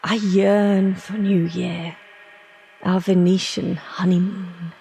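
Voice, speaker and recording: A woman's voice: fairly low pitch, flat delivery, takes it slowly, creaky, mellow, slight background noise. An actress playing a passionate, romantic woman in love with a younger man, yearning and poetic, in an audio drama. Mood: rapturous.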